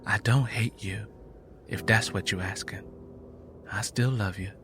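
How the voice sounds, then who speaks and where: A man's voice: fairly low pitch, fairly even intonation, measured pace, noisy background. A man voicing a weary, stoic mother of a poor rural family in an audiobook drama, with folksy dialect. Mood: subdued.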